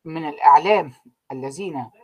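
A man giving an online university lecture, trailing off so students fill in the words, with frequent drawn-out fillers. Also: on the high side. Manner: keeps quizzing students with unfinished sentences, many drawn-out fillers.

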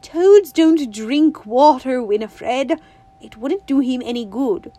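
A woman's voice: high voice, warm tone, dry recording, voice pushed up. A woman playing a prim, scolding grandmother. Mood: lecturing. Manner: prim, scolding, drawn-out words. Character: stern fussy old grandmother. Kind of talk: audiobook reading.

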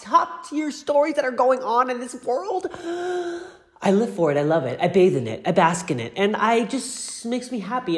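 A man hosting a casual solo pop culture podcast, gushing and effusive, rambling off on asides and sounding congested from allergies. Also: sits high.

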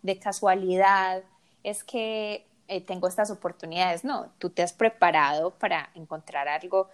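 A woman's voice: lowish voice, measured pace. Encouraging Latin American woman host. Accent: Latin American Spanish. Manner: encouraging, repeats words when searching. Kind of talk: casual interview chat.

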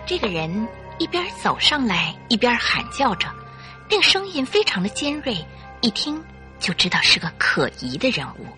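Bright, animated woman narrator. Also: a little high, normal speed, soft background music. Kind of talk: expressive scripted radio drama storytelling.

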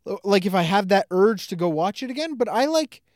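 Animated, sweary podcast co-host. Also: mid-range voice, fairly quick, no echo, studio quality. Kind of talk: casual film review chat.

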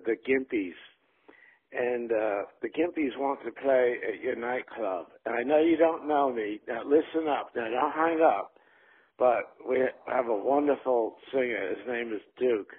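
A man leaving an informal voicemail over the telephone, making a halting sales pitch. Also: husky. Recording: muffled narrow telephone voicemail line.

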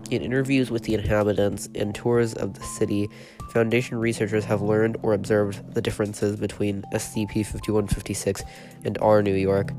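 A man hosting a podcast, stuffy from a cold, reading a written horror report in a steady, matter-of-fact way with a few hesitations. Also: fairly low pitch, normal speed, over music. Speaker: podcast host and narrator.

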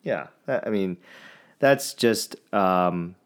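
A young man co-hosts a casual podcast. His voice is low, he is sniffly, and he answers his partner with dry, teasing, laid-back remarks. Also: lively intonation, takes it slowly. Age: young adult. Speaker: podcast co-host.

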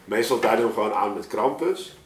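Low-voiced man thinking aloud, roomy sound. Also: animated, fast talker, slight background noise. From Suriname. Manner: rambling, thinking aloud, frequent 'zeg maar' asides. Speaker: podcast guest, artist and storyteller. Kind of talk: casual podcast talk, explaining his creative process. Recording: roomy, echoing room sound.

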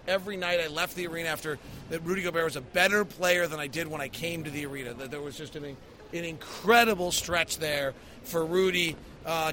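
A man's voice: on the high side, pushed and bright. A man hosting a post-game sports show, giving casual, emphatic basketball analysis and stories with a taste for exaggeration. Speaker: sports podcast host, basketball broadcaster.

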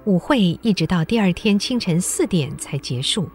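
A woman narrating a radio drama in an expressive, scripted storytelling style. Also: lowish voice, moderate pace, music in the background.

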